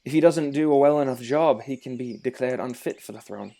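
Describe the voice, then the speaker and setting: A man's voice: medium pitch, brisk, vocal fry, warm tone, close to an everyday voice. A man playing a loyal, level-headed young knight and confidant in an audio drama. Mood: serious, explaining.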